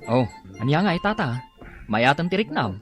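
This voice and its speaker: A man's voice: a little high, big pitch swings, fairly quick, faint music behind. An actor with an Ilocano Filipino accent playing a kind, helpful young man in a radio drama. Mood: friendly. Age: young adult.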